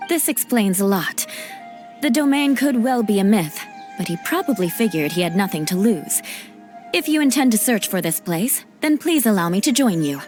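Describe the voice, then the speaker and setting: A woman playing a proud, expressive lady knight. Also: mid-range voice, moderate pace, soft background music, natural voice. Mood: composed, resolute. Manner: formal, composed, haughty. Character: proud, dutiful noblewoman knight, stern but caring. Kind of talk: animated game cutscene acting.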